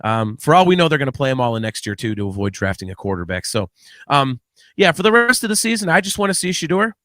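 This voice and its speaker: A man hosting a sports podcast solo, giving blunt, opinionated commentary in a casual, halting way with some mild swearing. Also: mid-range voice, big pitch swings, sharp tone, no room sound, clean studio sound. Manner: blunt, opinionated, mildly profane.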